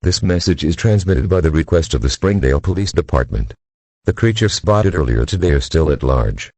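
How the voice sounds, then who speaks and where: Very deep man reading emergency alerts. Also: animated, a little fast, darkish tone, husky. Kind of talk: scripted emergency broadcast announcement.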